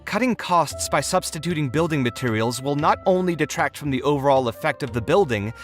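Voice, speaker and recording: Man acting as a frank, expressive young-sounding architect. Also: a little high, brisk, soft background music, close to an everyday voice. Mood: exasperated. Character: adult male architect, frank, weary, slightly exasperated professional. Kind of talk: acted video game dialogue.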